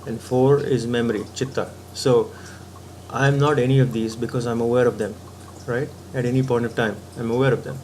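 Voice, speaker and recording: A man's voice: mid-range voice, steady tone, fairly quick, darkish tone, a little hiss or hum. A man giving a patient philosophical talk, reasoning step by step and often checking in with the listener. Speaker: spiritual teacher.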